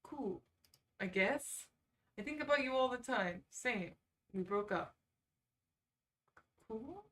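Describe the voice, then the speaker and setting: Bright-voiced, slow-paced woman streamer, slightly distant. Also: fairly high pitch, expressive. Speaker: livestream host. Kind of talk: casual livestream chat, reading quizzes aloud.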